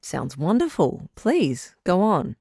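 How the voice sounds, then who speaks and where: Woman acting radio call-in doctor lady, low pitched, composed and frank, measured advice, very dry studio sound. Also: wildly swooping pitch, gravelly, darkish tone, breathy edge, no echo, full character voice. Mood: welcoming, attentive. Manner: measured, counselling, firm. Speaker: radio advice show host. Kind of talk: scripted radio drama call-in show.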